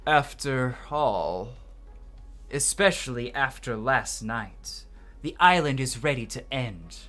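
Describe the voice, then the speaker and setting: Game holy man played by a man: solemn, fanatical, declamatory, big pitch swings, music behind. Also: lively intonation, slow pace, pushed and bright. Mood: solemn foreboding. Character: zealous, doom-laden holy man in a video game.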